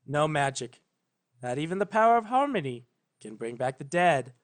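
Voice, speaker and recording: A man voicing a solemn, regal pony princess who speaks formally, measured and gently firm, in a story reading. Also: a little high, very animated. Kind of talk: dramatic fan fiction reading.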